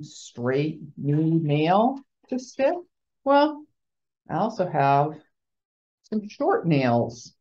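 A woman in her fifties, a chaplain giving a gentle, playful children's chapel talk from a small office over a webcam. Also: low voice. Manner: gentle, playful, slow and clear like talking to children. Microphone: webcam video call. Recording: slightly dull lo-fi webcam audio.

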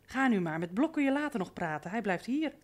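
A woman's voice: a little high, big pitch swings, fairly quick. A woman playing a bossy, familiar housekeeper in a radio drama, reproachful and chiding. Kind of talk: radio drama acting in Dutch.

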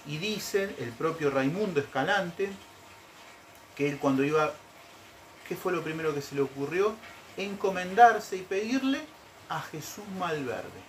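A man in his forties relates a folk legend to the camera from a home sofa, explaining patiently and checking in with his audience. His voice sits a touch high for a man. There is a faint hiss of background noise behind him. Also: animated, unhurried, poor audio. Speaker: storytelling show host. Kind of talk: casual storytelling to camera. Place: living room, seated on a sofa.

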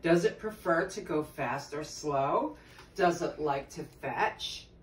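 A woman's voice: deep voice, slow pace. A middle-aged woman reading a children's story aloud, expressively, with chatty asides to her young listeners. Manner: expressive, addressing children and prompting them. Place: small tiled sitting room at home, indoors. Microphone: phone camera a few metres away. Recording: roomy, distant mic with background noise.

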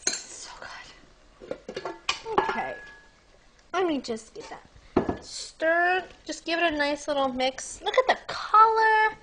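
A young woman hosting a cooking demonstration in her home kitchen, chatting brightly and speaking straight to her viewers. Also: extremely high pitch, very animated, takes it slowly, pauses often, background noise. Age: young adult. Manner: bubbly, chatty, addresses viewers directly. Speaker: cooking show host. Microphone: lapel mic.

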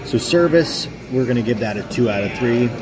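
A man's voice: medium pitch, animated, fairly quick, a loud crowd, rough recording. A man, casually reviewing food on a phone at arm's length in a pub. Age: 30s. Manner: upbeat, chatty, rambling with fillers. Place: booth in a pub restaurant. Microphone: phone held at arm's length.

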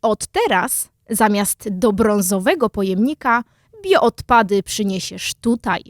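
A woman playing a calm, helpful fairy in a children's audio story, reassuring as she explains things. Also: medium pitch, slow, dry recording, clean studio sound. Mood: instructive.

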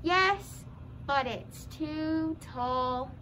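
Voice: high-pitched, very slow, pushed and bright, slight background noise, exaggerated voice. A young teen performer playing a confident puppet with daft logic in a comedy skit. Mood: earnest. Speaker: student puppeteer in a school drama show.

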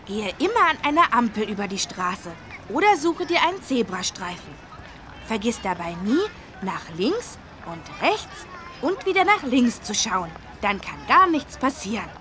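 A woman's voice: fairly high pitch, big pitch swings, a little slow, pushed and bright, a little hiss or hum, heavily acted. A woman voicing a cheerful, curious little boy who eagerly explains things in a children's game. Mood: eager, friendly. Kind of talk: children's educational game voice acting.

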